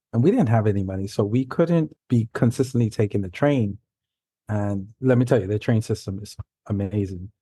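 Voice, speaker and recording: A man's voice: fairly low pitch, mellow, no room sound. A man in his forties from New York City, a native Spanish speaker, telling rambling, self-correcting stories as a guest author in a casual interview. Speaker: guest, science fiction author.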